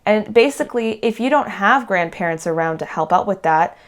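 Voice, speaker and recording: A woman from Canada, hosting an immigration talk show, reading news items and then chatting with a guest in a clear, steady delivery. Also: mid-range voice, brisk. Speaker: host. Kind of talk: news segment then casual chat.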